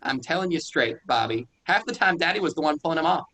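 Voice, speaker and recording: A man's voice: on the high side, lively intonation, fast, sharp tone, rough recording. An actor reading a stubborn rural young man, curt and defensive in a staged play reading.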